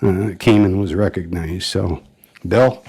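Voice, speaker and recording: A man reading out a colleague's record at a county board meeting, pausing often with fillers. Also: low-pitched, a little mellow, husky. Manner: halting, many "uh" and "um" fillers. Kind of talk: formal tribute speech at a meeting.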